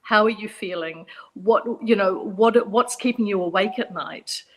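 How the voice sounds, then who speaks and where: A middle-aged woman with a New Zealand accent, a psychologist speaking as a guest on a radio panel in a measured, reflective way. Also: medium pitch, rough recording. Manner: measured, reflective, repeats points for emphasis.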